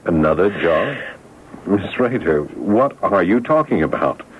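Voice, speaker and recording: An actor playing a brusque, flustered middle-aged company executive in a radio drama. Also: male voice, sits low, big pitch swings, measured pace, full character voice. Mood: baffled, placating. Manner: impatient, flustered, authoritative. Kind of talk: old-time radio drama acting. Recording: tinny, noisy old radio broadcast.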